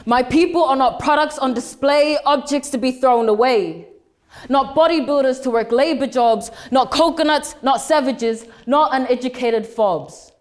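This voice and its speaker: A young woman in her late teens, originally from Samoa, speaks. Her voice sits high. She delivers a rehearsed speech with deliberate pauses, slowing down hard on the lines she wants to land. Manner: emphatic, slows right down on key phrases. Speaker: student speaker. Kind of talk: prepared speech competition oratory. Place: school speech competition.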